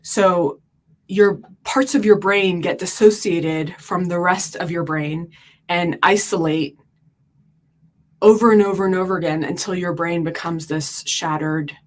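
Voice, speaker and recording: Guest woman, low-pitched, earnest explainer, frequent ums, from Turkey. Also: steady tone, a little slow. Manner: earnest, explains at length with many fillers. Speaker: podcast guest. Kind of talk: explanatory interview talk.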